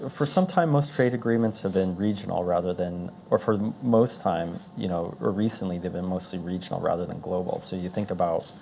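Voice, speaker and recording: An American man moderating a live question session in an informal, halting, jokey way. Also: low voice, creaky, mellow, a little hiss or hum. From Alaska, United States. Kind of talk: casual live Q&A.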